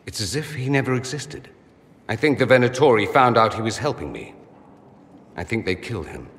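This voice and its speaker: A man's voice: medium pitch, lively intonation, fairly quick, fairly bright tone, in a large hall. An actor playing a witty, vain, wounded nobleman mage in a fantasy game, articulate and theatrical. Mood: mournful, reflective. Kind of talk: dramatic fantasy game dialogue.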